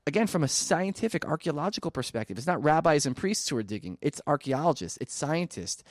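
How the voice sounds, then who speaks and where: A man speaking as an interview guest, arguing persuasively and emphatically, with rhetorical questions and deliberate pauses for stress. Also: on the high side, big pitch swings, no room sound, studio quality. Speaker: interview guest, archaeology advocate. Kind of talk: persuasive spoken argument in a radio interview.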